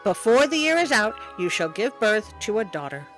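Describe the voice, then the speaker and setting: A woman's voice: mid-range voice, very animated, normal speed, a bit bright, soft background music. A woman voicing a talking fairy-tale frog that prophesies in a solemn, declarative way.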